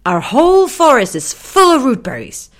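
Squeaky excited little lion girl. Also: normal speed. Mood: bossy. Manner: squealing, bouncy, eager. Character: tiny excitable female lion creature.

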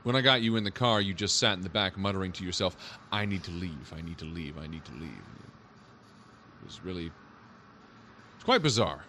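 A man's voice: low-pitched, normal speed, pushed and bright, a little hiss or hum. An actor playing a patient, bemused male police officer in a naturalistic audio drama, questioning in a conversational, hesitant way. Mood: bemused recounting.

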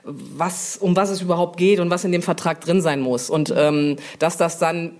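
A woman's voice: fairly low pitch, steady tone, normal speed, a little mellow. A woman speaking as an interview guest, in an informal, colloquial and chatty way. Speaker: interview guest, publishing brand manager. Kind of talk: casual interview talk.

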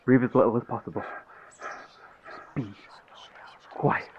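An actor playing a mysterious, commanding stranger in a horror audio drama, speaking in terse phrases. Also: male voice, medium pitch, fairly even intonation, a little slow, natural voice. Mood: urgent, commanding. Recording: dull sound with faint noise.

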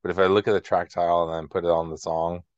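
A man's voice: low-pitched, little pitch movement, fast, smooth, no echo, muffled recording. A man co-hosting a casual music chat, speaking in a reflective, hesitant way peppered with filler words. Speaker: co-host of a music discussion show.

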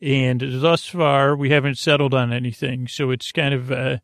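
A man hosting a sleep podcast in a creaky voice, delivering a soothing, meandering bedtime monologue that halts and restarts mid-phrase. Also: medium pitch, level tone, moderate pace, dry recording, studio quality. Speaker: podcast host.

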